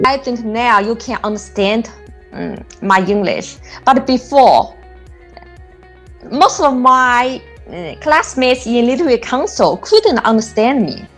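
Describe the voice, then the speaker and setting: A woman who is an adult English learner gives a testimonial, speaking haltingly and pausing to think. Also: on the high side, expressive, faint music behind. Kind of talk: testimonial interview.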